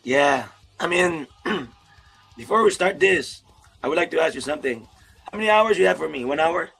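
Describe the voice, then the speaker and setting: A man with a gravelly, husky voice hosts a casual chat over a video call. He talks in a friendly, rambling way and laughs a lot, with faint music behind him. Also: fairly high pitch, animated, moderate pace, fairly bright tone. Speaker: YouTube host, interviewer.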